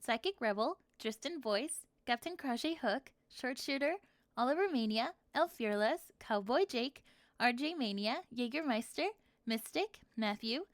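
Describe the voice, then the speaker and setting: A woman with a fairly high voice speaks as a channel host in a casual video outro. The sound is dry and close, with no room around it. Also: lively intonation, unhurried, no echo. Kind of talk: casual video outro with supporter shout-outs.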